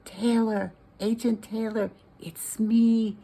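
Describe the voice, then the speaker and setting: A woman voicing an anxious male hero hiding in a story, hushed and urgent, repeating words. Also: on the high side, flat delivery, slow, voice pushed up.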